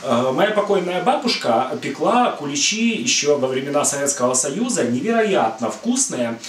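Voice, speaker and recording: A middle-aged man hosts a home cooking video and explains at a relaxed pace, with a fairly high voice and frequent "uh" fillers. He stands back from the camera, so his voice sounds distant and picks up echo from the kitchen. A faint hum of room noise sits underneath. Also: moderate pace. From Ukraine. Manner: rambling, many "uh" fillers, friendly and explanatory. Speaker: cooking channel host. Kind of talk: casual cooking talk to camera. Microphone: far camera.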